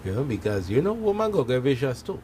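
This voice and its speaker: A man chats as a discussion guest. He is hesitant and repeats words, in a medium-pitched voice with faint noise behind. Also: very animated, quick pace, a little mellow. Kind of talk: casual discussion.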